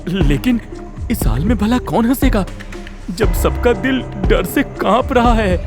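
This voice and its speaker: A man playing a nervous, hesitant villager in an animated Hindi story. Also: high-pitched, unhurried, music in the background. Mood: doubtful. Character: timid, nervous male villager. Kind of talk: Hindi cartoon story acting.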